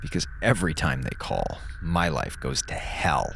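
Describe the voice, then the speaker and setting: A man acting in an audio drama as an exhausted, anxious space station crewman, speaking haltingly and emotionally. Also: very deep voice, very animated, creaky, fairly bright tone, slight background noise, exaggerated voice. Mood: anguished. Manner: halting, emotional, swinging delivery.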